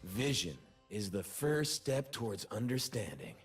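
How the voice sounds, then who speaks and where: A man's voice: on the low side, sing-song, a little slow, breathy edge, faint music behind, exaggerated voice. A man voicing a cocky, carefree bird-man performer in a video game, with a showy, swaggering, exclamatory delivery. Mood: earnest. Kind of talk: video game character voice lines.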